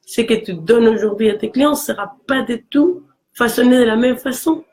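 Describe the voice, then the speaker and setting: A woman from Mexico with a Mexican Spanish accent and a low voice, talking earnestly in a casual conversation. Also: normal speed, darkish tone. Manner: earnest, encouraging, with frequent restarts.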